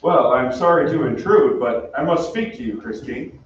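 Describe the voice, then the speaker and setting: A man's voice: on the high side, lively intonation, normal speed, slight background noise, close to an everyday voice. Man playing formal police inspector, heavy echo. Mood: stiffly polite. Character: stiff, formal male French police inspector, drily suspicious. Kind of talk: old-time radio drama acting. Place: theatre stage. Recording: very echoey stage, distant muffled mic.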